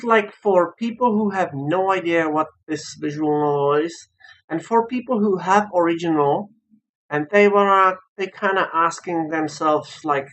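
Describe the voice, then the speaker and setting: Low-pitched non-native speaker, foreign accent, slow and kinda halting, casually reviewing a game off the cuff. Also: a bit bright, poor audio. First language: not English. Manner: hesitant, searching for words. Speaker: visual novel reviewer. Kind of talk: casual unscripted review over game footage.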